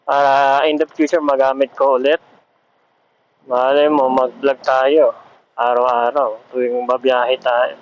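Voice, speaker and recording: A man's voice: medium pitch, flat delivery, measured pace. A man chatting casually and rambling as he thinks aloud, in the style of a motovlog. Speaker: vlogger. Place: outdoors on the road in traffic. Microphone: motorcycle helmet intercom over Bluetooth. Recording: dull lo-fi Bluetooth intercom mic.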